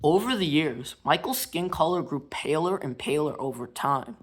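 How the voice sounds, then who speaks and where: A man narrating a biography as a read-aloud, telling it simply and in measured phrases. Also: a little high, expressive, background noise. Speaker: narrator and show host.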